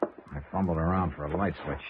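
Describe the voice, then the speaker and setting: Actor playing a low-voiced hard-boiled radio detective, muffled old recording. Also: male voice, fairly even intonation, fairly quick, background noise, close to an everyday voice. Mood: terse narration. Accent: American. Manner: clipped, sardonic, hard-boiled. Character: tough, shrewd male freelance insurance investigator. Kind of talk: 1950s radio noir acting.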